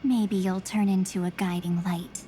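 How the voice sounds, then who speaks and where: A woman voicing a calm, mysterious female double who lives inside another girl's memories, speaking slowly in a hushed, measured way. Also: medium pitch, slight background noise, close to an everyday voice. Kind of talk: video game cutscene voice acting.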